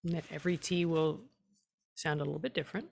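Low, creaky-voiced woman guiding meditation. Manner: calm, unhurried, leaves long pauses. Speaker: guided tea meditation teacher. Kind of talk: guided meditation, slow and gentle instruction.

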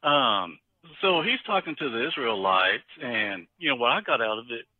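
A man calls in as a podcast guest, and his voice comes through a thin, tinny phone line. He sounds a little husky and dry, and he jokes and laughs easily while he explains things. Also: mid-range voice, sing-song, normal speed, no room sound. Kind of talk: casual conversation about scripture.

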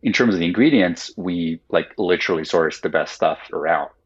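This is a deep, husky man whose first language is Finnish, talking through his thinking in a thoughtful, roundabout way full of "like"s. The audio is dull and lo-fi. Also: brisk. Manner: thoughtful, explains at length with many "like"s. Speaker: guest, company founder. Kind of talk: casual podcast interview.

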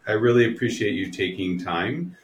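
A man who is an attorney hosting a podcast, asking questions in a friendly, halting way with frequent restarts. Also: fairly low pitch, lively intonation, echoey room. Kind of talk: podcast interview.

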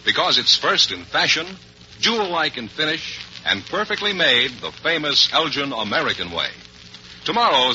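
A man's voice: medium pitch, bright, forceful tone. A man announcing in a polished 1940s radio style. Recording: muffled, faintly noisy old radio broadcast.

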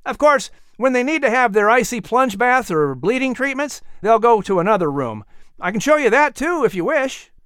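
A cheerful, oblivious young workman in a historical storybook, played by a male narrator. His voice sits high and clear, with an eager, helpful manner. He explains things matter-of-factly. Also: big pitch swings, normal speed, a bit bright, no echo, studio quality, full character voice.

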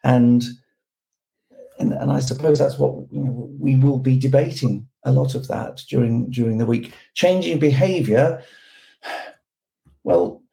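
A hoarse man, croaky from a cold, with a gravelly, dark tone. He works through his points in a rambling way, asking rhetorical questions and restarting sentences. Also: medium pitch, unhurried, pauses often, rough recording. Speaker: road safety campaigner guest. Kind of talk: podcast discussion.

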